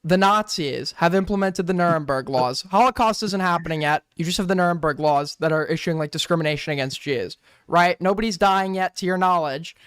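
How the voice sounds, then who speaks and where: A man's voice: fairly high pitch, fairly quick, few pauses, a bit bright, clear, resonant tone, no room sound, studio quality. A man hosting a stream debate, pressing his points hard, repeating words and talking over the other speaker. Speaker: streamer host. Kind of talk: heated casual debate.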